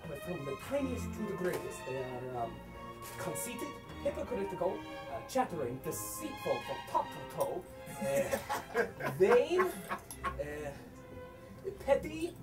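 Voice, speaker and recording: A man acting out a boorish, hot-tempered gentleman in a comic stage play. He declaims slowly and sarcastically, swinging widely in pitch. The voice is caught by a distant microphone on stage. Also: big pitch swings, loud music behind the voice, heavily acted. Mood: scornful. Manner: declamatory, sarcastic, exaggerated, swinging from bellows to murmurs. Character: blustering, boorish, misogynist middle-aged man, mocking and hot-tempered. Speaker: student stage actor. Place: theatre stage. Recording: distant mic on a theatre stage.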